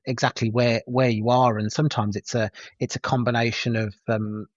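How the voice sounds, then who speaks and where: A middle-aged paramedic lecturer with a West Midlands accent, answering interview questions hesitantly with many fillers and restarts. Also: male voice, medium pitch, level tone, normal speed, no room sound, highs cut off. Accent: West Midlands English. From West Midlands, England.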